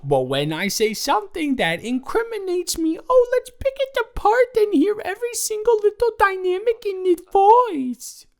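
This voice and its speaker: A man's voice: very high-pitched, big pitch swings, normal speed, hardly any pauses, exaggerated voice. A man playing a drunk young roommate secretly in love, rambling and stammering defensively in an improvised roleplay audio drama. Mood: indignant, salty.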